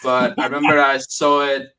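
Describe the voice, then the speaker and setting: A man from Spain, a guest comic writer chatting in an interview, friendly but halting, restarting his sentences. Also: medium pitch, little pitch movement, a loud crowd. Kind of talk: casual interview chat. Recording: distant from the mic.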